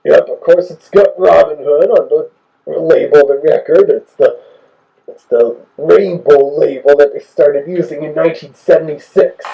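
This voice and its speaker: A man's voice: on the high side, normal speed, gravelly, dark tone, dull audio. A man giving casual, fault-finding commentary as a host reviewing a recording.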